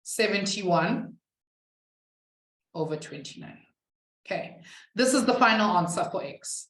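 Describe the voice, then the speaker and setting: A woman's voice: medium pitch, lively intonation, a little slow, a bit bright, reverberant, rough recording. A young woman who is not a native English speaker, teaching a live online maths class from a webcam and chatting with students. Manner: chatty, reads out student chat, laughs at herself.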